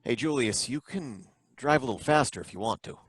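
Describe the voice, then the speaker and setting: Fumbling city inspector, mid-pitched, lively delivery, dry close sound, old-time radio play. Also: male voice, breathy edge, no room sound. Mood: suspicious. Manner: probing, hesitant, halting questions. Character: suspicious, bumbling male license inspector. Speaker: license inspector.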